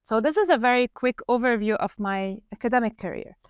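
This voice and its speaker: Woman from Lebanon, 30s, podcast guest; smooth, clear voice, measured, dry close sound. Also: fairly high pitch, no echo. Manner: explanatory, measured, with fillers. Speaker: guest researcher. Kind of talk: podcast interview.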